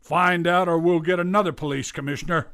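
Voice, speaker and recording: An actor playing a stern, impatient city mayor demanding action in a radio drama. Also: male voice, high voice, fairly even intonation, brisk, noisy background. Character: stern, blustering middle-aged male city mayor.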